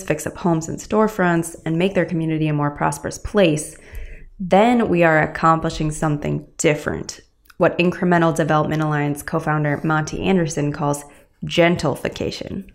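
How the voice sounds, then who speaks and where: A woman hosting a podcast, reading a measured scripted introduction and then asking thoughtful interview questions. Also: low-pitched, creaky, a little mellow. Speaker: podcast host.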